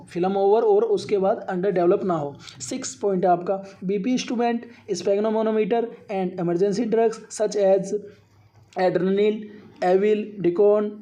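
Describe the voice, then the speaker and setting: Man, instructor, dark mellow tone, point-by-point lecture, repetitive explaining. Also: high-pitched. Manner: repetitive, reads points out then re-explains them. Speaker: radiography instructor.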